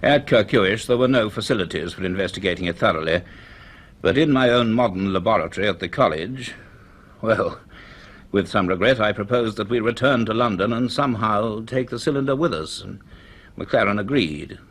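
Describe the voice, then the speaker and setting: A low-pitched, donnish man of science in a radio play. He speaks with measured deliberation and quiet authority, an inquisitive professor telling his tale. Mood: reflective, uneasy. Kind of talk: radio drama acting and reflective first-person narration.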